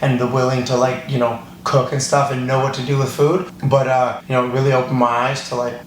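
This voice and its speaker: A man's voice: mid-range voice, brisk. A man in his thirties from Canada, a chef telling his story in a casual podcast chat with lots of fillers. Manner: relaxed, rambling storytelling with many fillers. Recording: echoey room, faint ambient noise.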